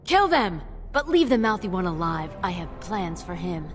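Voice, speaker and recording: A woman's voice: on the low side, big pitch swings, moderate pace, loud music behind the voice. A woman voicing a scornful, vengeful female crime boss in a video game, commanding and taunting. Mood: menacing. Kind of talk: video game villain dialogue.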